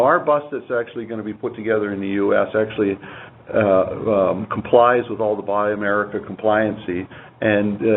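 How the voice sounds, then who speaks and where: A man answering as a company executive on an earnings call, explaining informally and repeating words as he goes, on a dull, noisy line. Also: on the low side.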